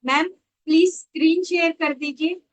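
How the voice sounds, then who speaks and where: A woman giving a devotional lecture as a spiritual teacher, in a measured, devout, instructive manner. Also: extremely high pitch, fairly even intonation. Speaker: spiritual teacher and astrologer leading an online session. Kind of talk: devotional lecture presenting with slides.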